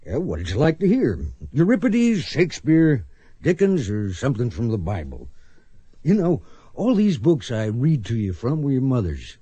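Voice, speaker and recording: An actor playing a gentle, plain-spoken widowed farmer father in a radio western, a man with a folksy, hesitant way of talking. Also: medium pitch, huge pitch swings, normal speed, husky, no room sound. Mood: fond, regretful. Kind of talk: radio western drama acting.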